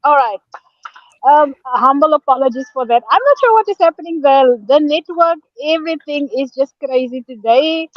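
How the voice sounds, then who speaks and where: High-voiced flustered woman on a dull line. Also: expressive, moderate pace, dry recording. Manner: apologetic, flustered. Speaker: guest. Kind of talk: casual chat on a video call. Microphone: video call. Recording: dry, dull lo-fi call audio.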